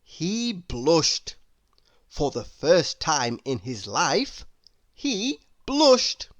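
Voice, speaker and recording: A woman narrating a children's story in an expressive, emphatic read-aloud style. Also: low voice, drawn-out words, no room sound. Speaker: storybook reader.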